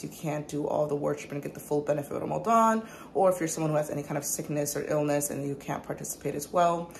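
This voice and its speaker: Tired low-voiced woman vlogging. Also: gravelly, a little mellow. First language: English. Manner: tired, candid, talks straight to the camera. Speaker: vlogger, mother. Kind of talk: casual vlog chat. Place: in a parked car and a home kitchen. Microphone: phone held at arm's length.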